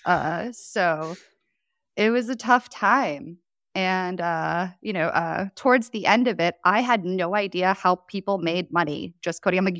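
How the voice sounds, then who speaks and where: A woman's voice: on the low side, fairly bright tone, dry recording, studio quality. A woman from Chicago telling her story as a podcast guest in a chatty way, with many fillers. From Chicago, United States. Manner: chatty, frequent fillers and laughs. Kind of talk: casual storytelling interview.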